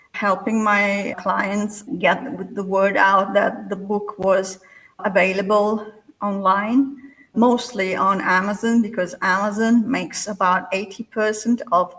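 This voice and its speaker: A woman, a literary translator answering interview questions in a slow, measured way with pauses. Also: medium pitch, fairly bright tone, rough recording.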